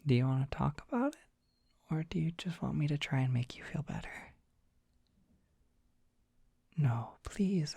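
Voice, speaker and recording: Casting: shy, tender young dragon boy for ASMR comfort roleplay, played by a performer; mellow, hushed, whispery voice, close and dry on the mic, hesitant and soothing. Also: medium pitch, no echo. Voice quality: whispery, breathy, soft.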